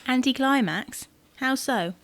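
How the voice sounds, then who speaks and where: A woman playing an anxious, fussing wife who is quick to contradict in a domestic audio drama. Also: high-pitched, animated, a bit bright, no room sound, heavily acted. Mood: chatty, curious. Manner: fretful, insistent. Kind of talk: domestic comedy-drama dialogue.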